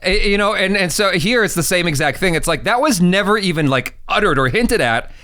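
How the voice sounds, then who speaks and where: A man co-hosting a movie reaction chat, animated and teasing, with many restarts. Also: high-pitched, a little fast, dry recording, clean studio sound. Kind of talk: casual chat.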